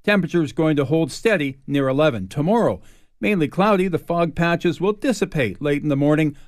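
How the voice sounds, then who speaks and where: Male radio news anchor, slightly high voice, dry studio sound, scripted bulletin delivery. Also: lively intonation, brisk, no echo.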